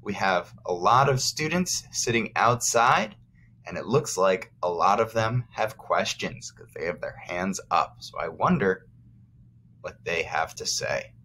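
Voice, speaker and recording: Slow, low-voiced American man reading aloud. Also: lively intonation, pushed and bright, a little hiss or hum. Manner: simple, explanatory, addresses children directly. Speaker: first grade teacher. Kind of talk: read-aloud to young children with explanatory asides.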